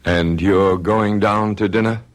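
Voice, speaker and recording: An actor playing a calm doctor who questions a hypnotized patient in a radio drama. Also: male voice, lowish voice, unhurried, lightly acted. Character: calm probing male doctor.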